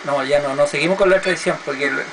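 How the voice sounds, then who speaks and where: A man's voice: fairly high pitch, fast talker. A middle-aged man chatting casually and giving call signs on amateur radio. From Chile. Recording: noisy amateur radio satellite contact.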